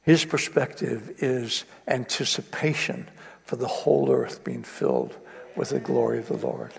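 A man's voice: mid-range voice, wildly swooping pitch, a little slow, gravelly, breathy edge. A man preaching a sermon in an earnest way, building to emphatic repetition. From East Africa. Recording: long reverb of a hall.

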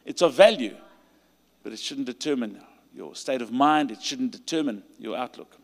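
A man with a South African accent preaching a sermon and leading a call to stand, his delivery rising to emphatic peaks and dropping to quiet pleading prayer. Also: medium pitch, expressive, moderate pace. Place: large church hall. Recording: long hall reverb.

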